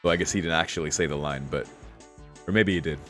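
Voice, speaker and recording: A man with a low-pitched voice reads a visual novel aloud as a casual gaming stream. He drops slangy, exclamatory reactions and swearing between the narration. Faint music plays behind him. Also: quick pace, a bit bright. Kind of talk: casual playthrough, reading aloud with off-the-cuff reactions.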